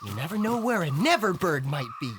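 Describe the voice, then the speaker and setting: A woman's voice: lowish voice, very animated, brisk. A woman playing a suspicious, officious child who serves as a young lieutenant in an audio drama. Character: wary, grumbling child lieutenant of a flying boy. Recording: clear background noise, outdoor ambience effects.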